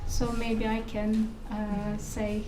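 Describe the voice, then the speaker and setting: A woman's voice: on the high side, completely flat delivery, takes it slowly. A Turkish-accented woman answering as a conference panelist, hesitant and searching for her words in English. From Turkey. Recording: echoey room, distant mic, faint noise.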